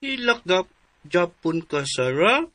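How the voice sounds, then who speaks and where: An actor as slow, naive Filipino simpleton suitor. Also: mid-range voice, sing-song, bright, forceful tone, a little hiss or hum, heavily acted. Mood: tender, pleading. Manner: pleading, naive. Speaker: radio drama actor. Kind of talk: melodramatic radio drama acting.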